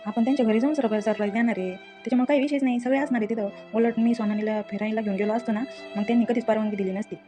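A woman's voice: a little high, fairly even intonation, racing, warm tone, soft background music, lightly acted. A woman playing an eager, insistent young man in a family comedy drama, speaking with a Maharashtrian Marathi accent. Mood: persuading. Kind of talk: acted family comedy dialogue.

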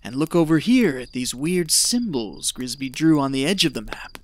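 An actor playing a greedy, callous treasure hunter in an audio drama, talking in a scheming, folksy, persuasive way. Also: male voice, medium pitch, lively intonation, moderate pace, close to an everyday voice. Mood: brisk, bossy.

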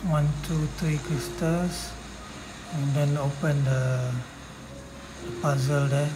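A woman's voice: sits low, steady tone, takes it slowly, background noise. A woman with a Malaysian or Singaporean accent giving casual gameplay commentary in short, plain remarks. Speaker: gamer making a walkthrough. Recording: loud game music behind the voice. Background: loud game music.

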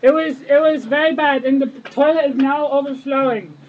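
A whiny, indignant wife acted out by a young man for a prank call, pitched very high. The delivery is dramatic and over-the-top. The recording is muffled with clear background noise. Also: moderate pace. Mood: flustered, complaining. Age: young adult. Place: indoor room with friends around. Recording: muffled, noisy webcam microphone.

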